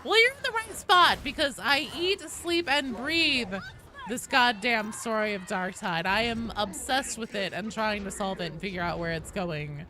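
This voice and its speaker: Animated woman livestreamer over background noise. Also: a little high, a little slow. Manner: animated, sweary, self-deprecating banter. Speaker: livestream host. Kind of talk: casual game-stream chat, answering viewer comments. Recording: clear background noise behind voice.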